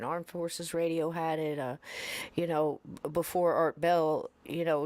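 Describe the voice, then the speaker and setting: A woman hosting a podcast, chatting in a rambling, casual way before playing an old episode. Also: deep voice. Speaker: podcast host. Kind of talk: casual chat.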